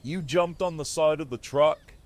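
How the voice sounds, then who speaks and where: An actor playing a principled male leader of survivors in an audio drama, with a firm, deliberate delivery. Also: a little high, close to an everyday voice. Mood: matter-of-fact.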